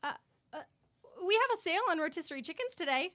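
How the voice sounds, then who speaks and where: A woman's voice: extremely high pitch, big pitch swings, quick pace, pushed and bright, full character voice. A woman playing an over-eager, gushing, easily hurt supermarket deli worker in a radio comedy. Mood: eager, apologetic. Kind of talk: campy student radio comedy acting.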